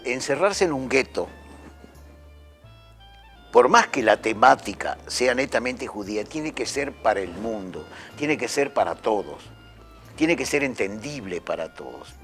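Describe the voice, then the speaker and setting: A man's voice: medium pitch, very animated, unhurried, fairly bright tone, faint music behind. Earnest man in an interview. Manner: earnest, repeating for emphasis. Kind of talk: reflective interview answer.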